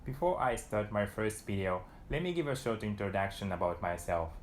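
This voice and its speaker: A young man from Japan with a Japanese accent, giving a calm, scripted lesson to camera. He says his Japanese example phrases more loudly and quickly, and he is recorded on a lapel mic in a small room. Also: on the low side, a little hiss or hum. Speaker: language teacher.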